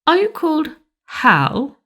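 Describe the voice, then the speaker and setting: Fairy tale queen, acted by a woman: young, coy, questioning, pitched up, taking her time. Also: huge pitch swings, takes it slowly, a bit bright, exaggerated voice. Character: anxious young queen guessing a dwarf's name. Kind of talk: fairy tale audiobook character.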